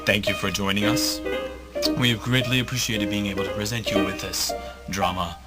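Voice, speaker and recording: A man's voice: lowish voice, little pitch movement, moderate pace, loud music behind the voice, voice pushed down, close to an everyday voice. A man playing a grandiose radio drama host, narrating a superhero tale in a pompous, theatrical manner. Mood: stately, genial.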